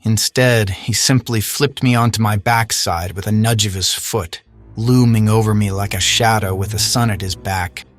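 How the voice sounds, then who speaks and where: A man's voice: lowish voice, steady tone, normal speed, faint music behind, close to an everyday voice. A man playing a humbled knight in an audio drama, telling his tale in a solemn, formal way. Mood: ashamed, solemn. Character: Calogrenant, humbled male knight recounting his defeat.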